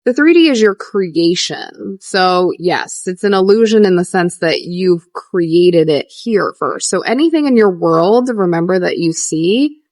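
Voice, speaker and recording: A young adult woman coaching viewers on a livestream, earnest and repetitive, answering questions with lively rises. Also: on the low side, a little slow, hardly any pauses, no echo, studio quality. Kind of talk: casual livestream teaching, reading viewer questions.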